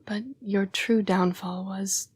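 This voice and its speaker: Woman acting a hushed, breathy vampire lady. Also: mid-range voice, level tone. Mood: somber, earnest. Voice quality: breathy, hushed, often dropping to a whisper. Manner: formal, polite, measured phrasing. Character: young-seeming female vampire, formal, apologetic, protective, urgent. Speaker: vampire council's correspondence assistant. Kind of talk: intimate audio roleplay monologue.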